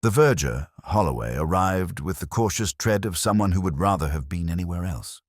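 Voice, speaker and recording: Very deep-voiced male mystery narrator. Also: expressive, dry recording, clean studio sound. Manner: measured, literary, solemn storytelling. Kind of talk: scripted first-person detective story narration.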